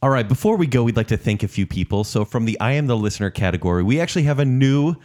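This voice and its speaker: Lively man reading podcast thank-yous. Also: mid-range voice, fast. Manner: reads out patron thank-yous, teasing. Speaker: podcast co-host, movie reviewer. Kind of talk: casual banter.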